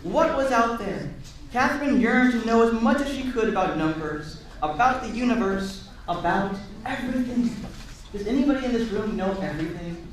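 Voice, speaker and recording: High-voiced man reading aloud, echoey room. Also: moderate pace. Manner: expressive, encouraging, chatty with questions to listeners. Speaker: storyteller reading a picture book aloud to children. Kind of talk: animated read-aloud with asides to a young audience. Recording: echoey room, distant mic, audience sounds.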